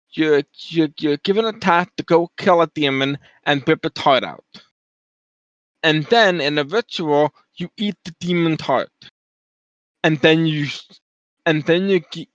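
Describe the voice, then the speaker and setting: A man giving unscripted explanatory commentary, emphatic and halting as he goes point by point. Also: on the high side, a bit bright, no room sound. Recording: dull, dry lo-fi microphone.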